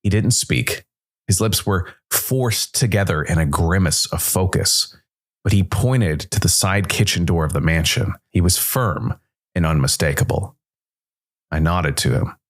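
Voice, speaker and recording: A man narrating a scripted first-person noir story as a world-weary supernatural detective, brooding and dramatic, with deliberate, weighted pauses. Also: deep voice. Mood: brooding, tense. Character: world-weary supernatural noir detective, male jazz musician working for Death.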